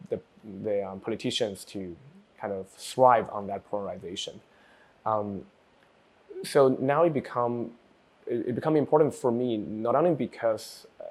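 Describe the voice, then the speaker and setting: A man, a developer and researcher, explaining his work in a thoughtful way with frequent pauses. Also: lowish voice, a little mellow. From China. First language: Chinese. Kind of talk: personal project presentation.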